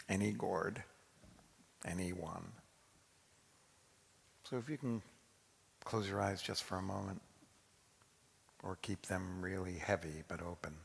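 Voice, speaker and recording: A man's voice: deep voice, gravelly, husky. A man speaking informally as a rabbi to his congregation in a large sanctuary. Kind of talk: informal address to a congregation, taking questions. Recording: echoey hall with long reverb.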